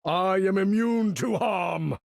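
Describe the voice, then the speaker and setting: Imperious, gravelly older supervillain. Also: male voice, high-pitched, slow pace, darkish tone, dry recording, shouting, full character voice. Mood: triumphant, shouting. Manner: pompous, theatrical, formal diction. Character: imperious older male supervillain commanding a battle. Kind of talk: video game voice lines.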